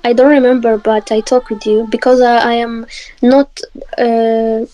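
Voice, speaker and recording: A young woman speaks slowly on a webcam video call from her home. Her voice sits a little high, and her English is halting and simple, with short answers. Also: flat delivery, mellow, muffled recording. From Morocco. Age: 20s. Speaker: video chat guest. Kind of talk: casual video chat. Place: indoor home room.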